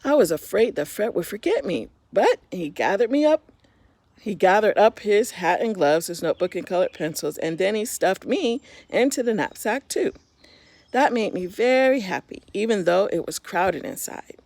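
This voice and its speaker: A woman reading a children's story aloud in an expressive, simple storytime manner, talking directly to young listeners. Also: medium pitch, moderate pace, no echo.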